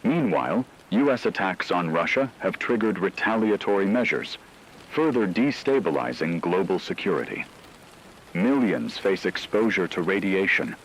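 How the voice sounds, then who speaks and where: A man's voice: low voice, a little hiss or hum, full character voice, poor audio. A man playing a solemn radio anchor, reading a portentous scripted dramatic news report about a catastrophe. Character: grave male radio anchor reporting nuclear war.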